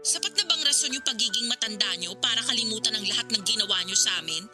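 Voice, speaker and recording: A woman's voice: on the high side, expressive, quick pace, music in the background, close to an everyday voice. A Filipino woman playing a resentful grown daughter in a radio drama, speaking to her father with sarcasm, accusation and scorn. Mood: bitter, accusing.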